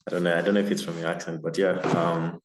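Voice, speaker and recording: A man's voice: low-pitched, fast talker. A man teaching a casual live coding lesson on a video call, with lots of fillers. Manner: relaxed and casual, many fillers. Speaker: coding instructor. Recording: roomy echo, faint noise.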